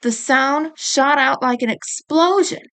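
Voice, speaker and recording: A woman reading a scripted first-person story aloud as its author, in a deliberate storytelling manner. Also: high-pitched, a bit bright. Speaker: author reading own book chapter.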